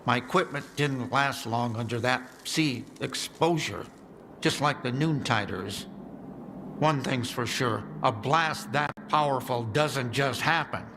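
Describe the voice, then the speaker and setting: A man voicing a worried male survivor in a video game, explaining things in an earnest, urgent way. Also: mid-range voice. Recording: game audio with clear ambient noise.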